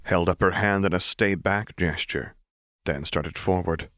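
Low-voiced male audiobook narrator. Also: a little slow, fairly bright tone, dry recording, studio quality. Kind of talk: scripted dramatic fiction narration.

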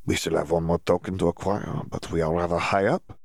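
Man as a soft-spoken, worried young woman. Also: deep voice, fairly even intonation, fairly quick, vocal fry, dry recording, clean studio sound.

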